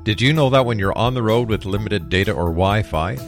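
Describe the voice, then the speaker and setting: Male radio host, deep-set pitch, animated intonation, faint music bed, studio mic. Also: a little fast. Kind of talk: radio presenting and scripted announcements. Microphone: studio broadcast mic.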